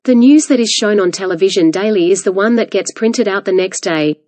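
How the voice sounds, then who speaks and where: A woman reading a scripted passage aloud as a model answer, with measured, careful enunciation. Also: mid-range voice, a little mellow, dry recording. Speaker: exam practice model reader. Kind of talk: read-aloud scripted narration.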